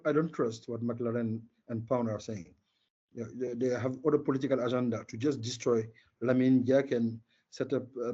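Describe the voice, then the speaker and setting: An older man with a husky voice and a Senegalese accent, native in Wolof, answers as an interview guest. He is emphatic and opinionated, repeating words to drive points home. The audio is dull and lo-fi. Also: medium pitch, warm tone. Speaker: interview guest, former sports marketing executive.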